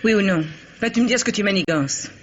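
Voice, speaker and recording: An actress playing a dealer's hard-edged but uneasy accomplice, blunt and reproachful, in a French radio crime drama. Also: female voice, medium pitch, animated, fast, lightly acted. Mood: uneasy, probing. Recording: muffled, hissy old radio recording.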